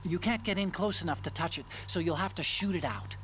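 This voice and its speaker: A man's voice: high-pitched, a little fast, natural voice. A man playing an earnest technical support partner in a video game, explaining things precisely. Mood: urgent, instructive. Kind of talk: scripted game cutscene acting. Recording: music behind the voice.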